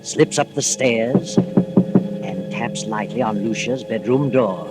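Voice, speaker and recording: A man playing a suspenseful mystery narrator in dramatic 1940s radio style, deliberate and ominous, on a vintage recording. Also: on the low side, rough recording. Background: loud dramatic music.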